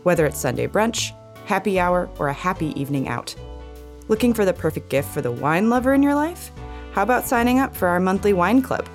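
A woman's voice: medium pitch, animated, a little fast, faint music behind. A woman announcer reading a scripted advertisement. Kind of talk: scripted ad read.

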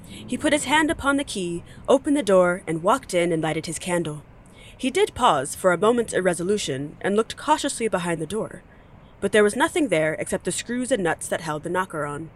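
A woman playing a wry, theatrical storyteller, narrating an audio play with deliberate emphasis. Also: fairly low pitch, lively intonation. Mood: wry, suspenseful.

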